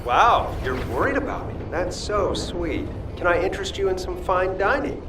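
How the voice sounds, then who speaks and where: An actor playing a cocky, playful fire-wielding cook and fighter in a video game, with a flippant, teasing way of talking. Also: male voice, high voice, big pitch swings, moderate pace, noisy background. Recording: loud game music behind the voice.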